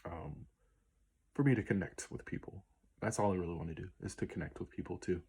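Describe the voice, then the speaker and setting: Late-20s male musician vlogger, low, slightly hoarse voice, loose and unscripted, spells out names slowly. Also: a little mellow. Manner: easygoing, rambling with many ums and spelled-out names. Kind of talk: casual Q&A vlog.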